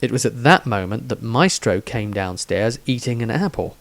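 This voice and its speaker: A man's voice: mid-range voice, lively intonation, normal speed, creaky, fairly bright tone. A man reading scripted narration of a fantasy story as its narrator. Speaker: author narrator.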